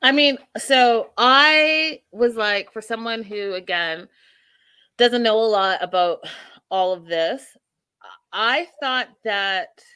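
A woman's voice: medium pitch, sharp tone, clear, resonant tone, dry recording. A woman hosting a casual live discussion, thinking aloud with many fillers and restarts. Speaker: host.

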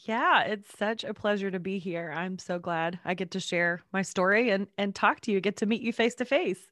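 A woman in her thirties from North Carolina, a guest on a faith podcast, chatting casually while congested, her voice deeper than usual. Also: moderate pace, a bit bright, no room sound, clean studio sound. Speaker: podcast guest, children's ministry worker. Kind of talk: casual interview chat.

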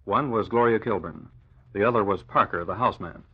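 A man's voice: fairly low pitch, fairly quick, husky. A man delivering scripted narration for an old-time radio drama. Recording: dull, lo-fi old radio recording.

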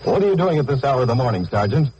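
Male war correspondent for 1940s radio, mid-pitched, highly inflected, formal dramatic narration with faint hiss. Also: big pitch swings, racing, a little mellow, lo-fi sound.

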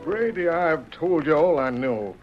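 An actor playing a wrongly accused cowboy prisoner, earnest and indignant, in an old-time radio Western. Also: male voice, a little high, expressive, unhurried, loud music behind the voice. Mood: indignant, protesting. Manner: earnest rustic cowboy talk. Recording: muffled vintage radio recording.

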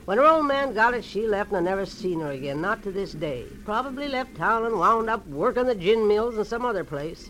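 A woman's voice: medium pitch, lively intonation, fairly quick, hardly any pauses, fairly bright tone, a little hiss or hum, close to an everyday voice, low-quality recording. Woman acting cagey old rooming-house crone. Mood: wistful, knowing. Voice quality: slurred through missing front teeth. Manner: folksy, sly, slangy. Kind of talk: 1940s radio character acting.

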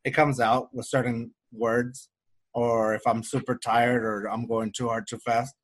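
A young man with a light Mexican Spanish accent, his voice sitting at a middling pitch. He talks loosely in a casual podcast chat, circling back, restarting sentences and leaning on fillers. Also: flat delivery, unhurried, poor audio. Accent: slight Mexican Spanish. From Mexico-US border region. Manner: rambling, frequent restarts and fillers. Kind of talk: casual conversation.

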